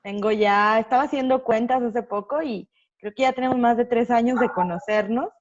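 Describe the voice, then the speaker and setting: A woman's voice: on the high side, moderate pace. Upbeat woman host, lo-fi audio. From Mexico. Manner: friendly, upbeat introductions. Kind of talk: live-stream interview.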